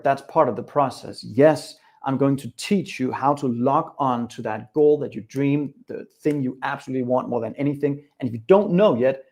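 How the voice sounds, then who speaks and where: A man's voice: mid-range voice, darkish tone. Danish-accented man coaching a live webinar. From Denmark. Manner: upbeat, direct, addresses viewers and chat.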